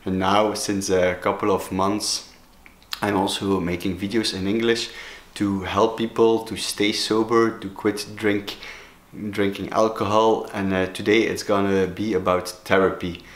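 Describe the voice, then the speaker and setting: A man from Belgium, a native Dutch speaker with a Belgian Dutch accent, talking to camera as a sobriety coach, halting with many fillers and restarts. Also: fairly low pitch, level tone, roomy sound. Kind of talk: solo talking-head vlog.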